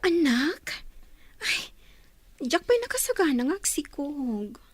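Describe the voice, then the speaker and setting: A scheming young girlfriend played by a woman in an audio drama. Her high voice moves with lively swings, coy and materialistic, recorded dry and close. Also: takes it slowly, no echo, exaggerated voice. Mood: reluctant. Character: sly, materialistic young girlfriend and reluctant wife. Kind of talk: melodramatic audio drama acting.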